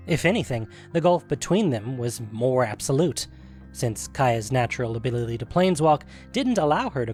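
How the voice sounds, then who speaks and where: A man's voice: mid-range voice, darkish tone. A man narrating a scripted fantasy story as the reader of a story podcast. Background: music.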